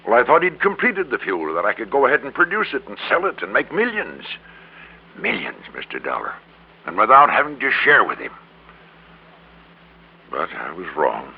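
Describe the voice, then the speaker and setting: An actor playing a greedy, defeated middle-aged businessman in an old radio drama, confessing in a halting, resigned way. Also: on the low side, wildly swooping pitch, gravelly, breathy edge, heavily acted. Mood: bitter, desperate. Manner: halting, resigned, repeats words for emphasis. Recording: crackly, narrow-band old radio recording.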